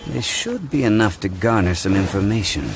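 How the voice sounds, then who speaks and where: An actor playing a calm, learned, courteous gentleman in a video game, explaining things in a measured, formal way. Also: on the low side, over music. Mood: composed, scholarly.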